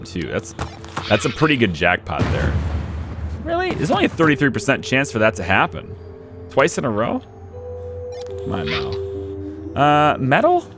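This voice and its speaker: A young man hosting a let's play, chatting casually as he plays and talking to his units, a little hoarse from losing his voice. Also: mid-range voice. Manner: chatty, thinks aloud, talks to his units. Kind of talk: casual gameplay commentary.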